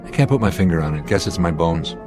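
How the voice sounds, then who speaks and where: A man's voice: low voice, lively intonation, fast talker, over music. An actor playing a confident male airline captain in a radio drama, speaking with clipped authority. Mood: uneasy, puzzled.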